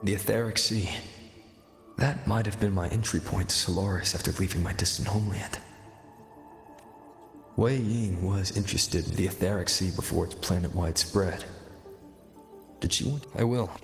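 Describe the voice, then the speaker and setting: A man voicing a calm, steady wanderer hero in a game, speaking in short, even replies. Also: deep voice, normal speed, gravelly, long pauses, soft background music. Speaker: player character. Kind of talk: game cutscene voice acting.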